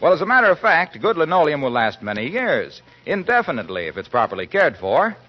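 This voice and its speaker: A male announcer from forties radio delivers scripted copy with smooth salesmanship. His voice is somewhat high and lively, heard through a muffled old recording. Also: a little fast. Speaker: radio announcer and sponsor pitchman.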